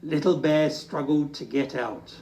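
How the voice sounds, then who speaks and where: Expressive storybook reader, unhurried and dramatic, recorded at some distance in an echoing room. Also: mid-range voice. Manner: dramatic, drawn-out emphasis. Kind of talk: expressive children's story reading. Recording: roomy, distant microphone.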